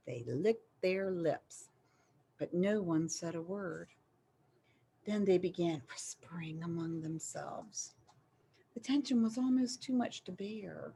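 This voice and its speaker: A woman reading a children's story aloud in an animated, dramatic way, with emphasis on key phrases. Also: medium pitch. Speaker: storybook reader.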